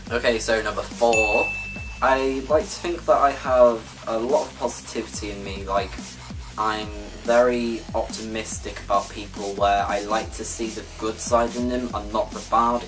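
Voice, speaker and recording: A man's voice: on the low side, low-quality recording. A nineteen-year-old Welsh man chatting casually to his viewers, rambling and correcting himself with lots of fillers. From Wales. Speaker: gaming YouTuber. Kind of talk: casual vlog talk to viewers.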